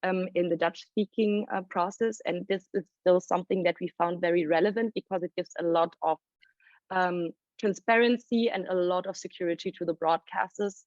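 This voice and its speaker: A woman presenting findings as a report author at a panel launch, explaining at length and thinking aloud with pauses. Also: fairly low pitch, vocal fry, a little mellow, no room sound. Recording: dry, dull lo-fi sound.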